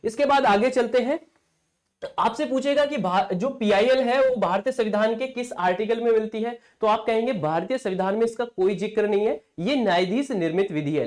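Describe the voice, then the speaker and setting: Male coaching teacher for exam lectures; explanatory, repetitive delivery built on self-answered questions. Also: sits high. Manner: asks rhetorical questions and answers them himself.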